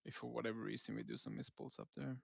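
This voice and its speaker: A man's voice: medium pitch, steady tone, fast, creaky, slightly rough, no echo, clean studio sound. A man giving casual, laid-back gameplay commentary as a raid leader, explaining tactics as he goes.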